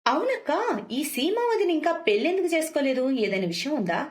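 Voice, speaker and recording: Lady doing a snippy young sister-in-law from a family story, high voice, gossipy and mocking. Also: big pitch swings, moderate pace. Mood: gossipy, scornful. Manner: mocking, needling. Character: taunting young daughter-in-law, gossipy and mean.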